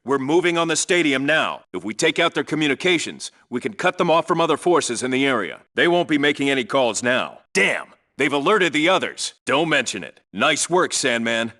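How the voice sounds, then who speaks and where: A man's voice: mid-range voice, sing-song, moderate pace, few pauses, sharp tone. A man playing a no-nonsense special forces team leader in a video game, reporting in a clipped, businesslike, commanding way. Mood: alarmed. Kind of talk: scripted radio call-outs, video game military acting.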